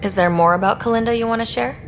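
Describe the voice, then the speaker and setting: A woman playing an inquisitive expedition leader in a video game, with a creaky voice and a direct, probing manner. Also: a little high, fast, a little hiss or hum, natural voice. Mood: curious, probing. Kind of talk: video game dialogue acting.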